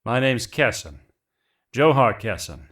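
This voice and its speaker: A man's voice: mid-range voice, very animated, a little slow, a bit bright. A man playing a plainspoken shipwrecked mercenary in a space adventure audio drama. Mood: polite, uneasy. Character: male shipwrecked mercenary hunter, rugged and plainspoken, courteous.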